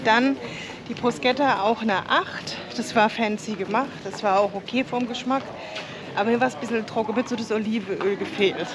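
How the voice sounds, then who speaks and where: A chatty woman in her thirties with a fairly high voice, talking into an action camera held at arm's length. She weighs her words as she gives her verdicts. Outdoor noise comes through clearly behind her. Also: brisk. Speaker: co-host of travel vlog. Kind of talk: casual chat. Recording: noisy outdoor ambience.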